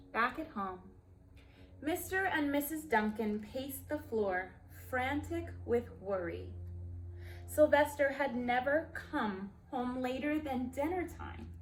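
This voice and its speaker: A woman reads a story aloud for young children. Her voice is fairly high and she goes slowly, with deliberate emphasis and simple asides to the listeners. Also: expressive, slight background noise, rough recording.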